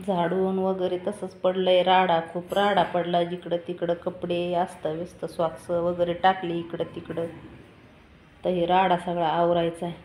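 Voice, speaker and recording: A woman's voice: lowish voice, completely flat delivery, unhurried, darkish tone, a little hiss or hum. A middle-aged woman, chatting casually at home and giving instructions as a family vlogger. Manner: instructing, chiding. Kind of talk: casual household chat and vlog narration.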